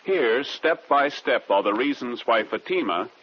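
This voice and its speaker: A man with a husky voice announcing a vintage radio show in a formal, measured style. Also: medium pitch, expressive, slight background noise. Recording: dull vintage radio recording.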